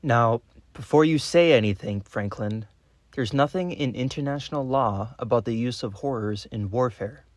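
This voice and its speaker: An actor playing a pragmatic, dismissive government boss in naturalistic meeting dialogue, glibly brushing off objections. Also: male voice, mid-range voice, normal speed, no room sound, lightly acted. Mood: glib, impatient.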